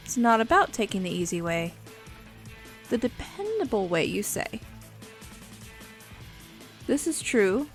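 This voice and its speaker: A woman voicing a bossy, world-weary but protective female vampire in an ASMR roleplay, speaking in a haughty, condescending, deliberate way. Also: a little high. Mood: grave warning. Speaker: voice actor in an audio roleplay.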